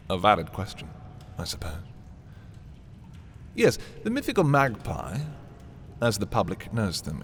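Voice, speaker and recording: A man playing an arrogant, possessive young prince in a dark fantasy audio roleplay, haughty and theatrical in his delivery. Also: sits low, a little hiss or hum. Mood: coolly menacing. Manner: haughty, dramatic, swinging from hushed to exclaiming. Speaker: voice performer. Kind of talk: dramatic roleplay audio, ASMR fantasy monologue.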